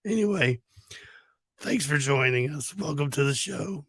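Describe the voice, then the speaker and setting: A man co-hosting a relaxed talk show with a hoarse, very husky voice, worn down by a sore throat. His tone stays laid-back and joking, and it comes through dry and close to the mic. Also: a little high, measured pace, no echo. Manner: laughing, swearing, laid-back. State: hoarse, lost voice. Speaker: co-host. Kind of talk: casual podcast chat.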